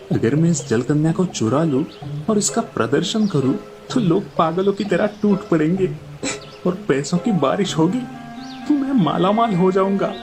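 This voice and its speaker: A man playing a greedy, scheming village magician and showman with theatrical delivery in a moral story cartoon. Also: on the high side.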